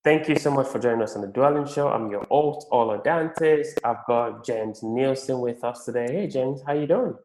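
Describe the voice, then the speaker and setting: A man's voice: medium pitch, moderate pace, few pauses, darkish tone, poor audio. A man hosting an interview podcast, speaking to guests and listeners. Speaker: podcast host. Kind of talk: podcast interview and scripted promo.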